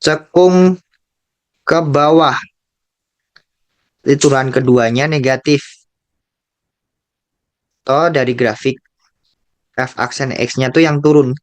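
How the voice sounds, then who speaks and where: A man with an Indonesian accent tutoring calculus, working slowly through a graph problem, repeating key terms and thinking aloud. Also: medium pitch. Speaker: math tutor.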